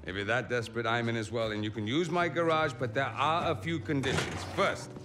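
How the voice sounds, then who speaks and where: An actor playing a gruff, weary middle-aged male car engineer in a video game, grumbling drily. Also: mid-range voice, steady tone, brisk, over music, lightly acted. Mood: reluctant. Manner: dry, grumbling. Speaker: mechanic. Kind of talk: video game cutscene acting.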